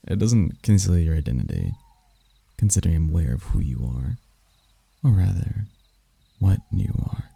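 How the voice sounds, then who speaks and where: A man playing a suave, seductive male demon in an intimate ASMR roleplay, teasing and flirtatious, with a husky, gravelly murmur. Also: very animated, dark tone, dry recording, exaggerated voice. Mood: taunting, playful. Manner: slow, flirtatious, breathy murmurs with low chuckles.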